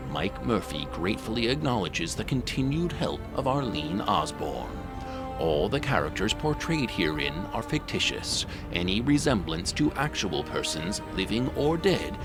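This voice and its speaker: A man narrating from a script as the announcer of an audio drama, reading formally. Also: low voice.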